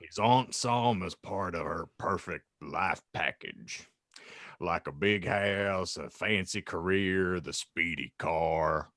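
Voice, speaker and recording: Man putting on a solemn gamekeeper, lowered pitch, grave, protective, disapproving, dry close sound. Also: takes it slowly, a bit bright, no echo, full character voice. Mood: concerned, disapproving. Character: concerned, solemn male gamekeeper, protective of a child. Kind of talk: comedic fan fiction reading.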